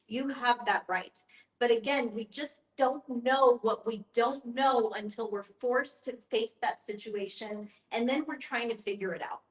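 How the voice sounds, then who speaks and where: Echoey, higher-voiced woman guiding a discussion. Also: steady tone, measured pace. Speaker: guest facilitator and end-of-life educator. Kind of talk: guiding a group discussion, explanatory. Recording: echoey room sound.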